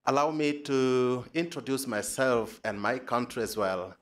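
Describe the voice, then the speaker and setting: A man with a Tanzanian accent giving an academic conference presentation as a PhD student, hesitant and halting, often repeating words. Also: mid-range voice, fairly even intonation.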